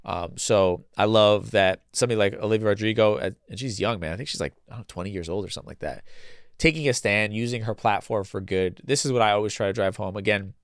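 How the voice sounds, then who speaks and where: A male podcast host, speaking casually and bluntly, a bit congested and hoarse from a cold. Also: lowish voice, fast, no echo, studio quality. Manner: blunt and casual, swears freely, many restarts. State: congested with a cold, losing his voice. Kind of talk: solo opinion commentary.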